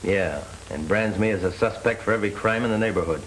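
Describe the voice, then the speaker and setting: A man's voice: lowish voice, fast, lightly acted. A young man playing a proud, bitter parolee, terse and earnest, in 1930s film style. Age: young adult. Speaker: actor playing a paroled convict. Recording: noisy 1930s film soundtrack.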